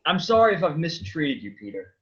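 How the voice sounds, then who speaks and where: An actor playing an awkward, sheepish Lazarus in a staged comedy reading, hesitant and dry. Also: male voice, a little high, big pitch swings, fairly quick, a bit bright, muffled recording. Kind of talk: staged reading of a comic play.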